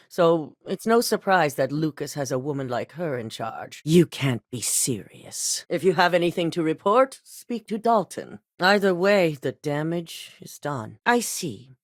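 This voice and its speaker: A cool, commanding corporate CEO. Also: female voice, deep voice, dry recording, studio quality. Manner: measured, authoritative, coolly businesslike. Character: composed, commanding middle-aged female corporate CEO. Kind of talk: video game dialogue. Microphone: dry studio voice recording.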